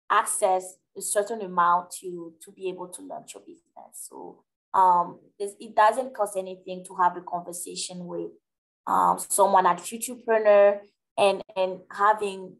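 A woman with a French accent explains business topics to a live webinar audience, pausing with plenty of fillers. Her voice sits at an ordinary middle pitch, picked up by a webcam on a video call. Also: poor audio. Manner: explanatory, hesitant fillers, friendly. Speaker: webinar presenter.

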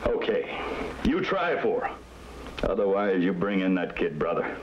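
Bossy police lieutenant, swinging pitch. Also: male voice, big pitch swings, unhurried. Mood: suspicious. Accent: American. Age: middle-aged. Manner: gruff, commanding. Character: bossy, irritable middle-aged police lieutenant. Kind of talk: 1950s TV crime drama acting. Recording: muffled, noisy old TV film sound.

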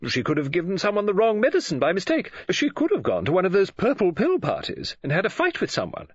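Man as chatty middle-aged woman, audiobook, high-pitched, excitable, gushing, hugely animated swoops. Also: moderate pace, husky, no echo, lo-fi sound, heavily acted. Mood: excited, speculating. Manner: gushing, exclamatory, swooping emphasis.

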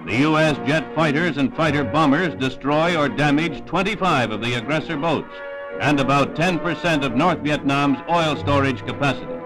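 An American man narrates a 1960s newsreel with formal emphasis. His voice sits a little high, and music plays clearly behind him. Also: normal speed, low-quality recording.

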